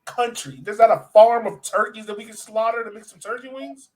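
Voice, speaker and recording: A man hosting a sports podcast in a casual, animated, slangy and joking way. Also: high-pitched, a little fast, poor audio. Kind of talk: casual chat.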